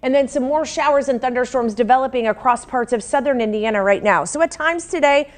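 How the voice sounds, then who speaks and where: A woman's voice: high voice, fairly quick. An American woman reading local news as an anchor in a scripted newscast style.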